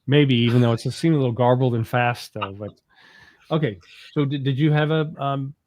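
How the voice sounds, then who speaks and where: A man giving a casual live software walkthrough, thinking aloud in a tentative way as he works. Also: medium pitch, brisk, dry recording. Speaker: co-host demonstrating software.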